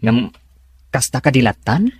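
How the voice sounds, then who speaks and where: A man's voice: mid-range voice, animated, measured pace, darkish tone, breathy edge, no room sound, clean studio sound. A man playing a young best friend in a radio drama, earnest and frank as he gives advice. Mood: matter-of-fact.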